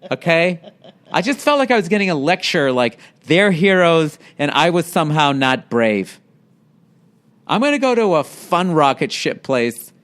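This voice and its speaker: A man's voice: a little high, sing-song, moderate pace, a bit bright. A man playing a prissy, entitled tourist complaining indignantly in comic mockery. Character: prissy, offended tourist complaining about a tour.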